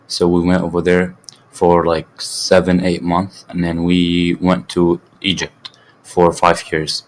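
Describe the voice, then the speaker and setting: A teenage boy from Syria who grew up speaking Arabic talks in a low, even voice with little rise and fall. He answers slowly, stopping often with "uh" and starting words over. A faint hiss sits under the recording. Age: teens. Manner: halting, many fillers and restarts. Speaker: student guest. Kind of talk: casual interview answers.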